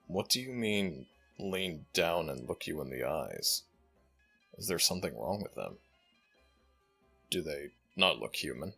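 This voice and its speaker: An ancient, dignified jackal god voiced by a man for an audio roleplay. His voice is very low and gravelly, with wide swings of intonation. He speaks slowly and formally, regal yet fondly exasperated. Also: big pitch swings, pushed and bright, soft background music, exaggerated voice. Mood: flustered, indignant. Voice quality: deep, gravelly. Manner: formal, slow, measured.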